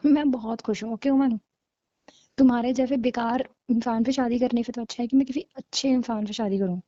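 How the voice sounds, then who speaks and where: Woman doing a young gf dumping her guy, high soft-toned voice, cold n argumentative, dry kinda lofi audio drama. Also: steady tone, fairly quick, no room sound, highs cut off, lightly acted. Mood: scornful. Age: young adult. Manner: blunt, dismissive, argumentative. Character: cold, scornful young girlfriend breaking up with her boyfriend. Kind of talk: naturalistic audio drama of a couple's call.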